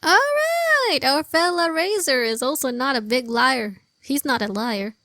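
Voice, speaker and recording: A woman's voice: high voice, unhurried, sharp tone, no room sound, clean studio sound. A woman giving casual gameplay commentary, hesitant and stammering, often repeating her words. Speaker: game streamer reacting to story dialogue.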